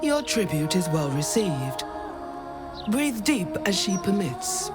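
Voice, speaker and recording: An actress playing a solemn, fervent priestess of a cruel sea goddess in a video game, speaking in a grand, incantatory manner. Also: female voice, mid-range voice, big pitch swings, takes it slowly. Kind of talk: ceremonial, scripted game acting. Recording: loud music behind the voice.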